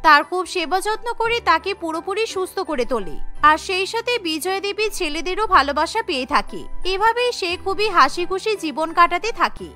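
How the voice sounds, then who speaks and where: Very high, bright woman narrator. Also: sing-song, a little fast, hardly any pauses, soft background music. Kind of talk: scripted cartoon story narration.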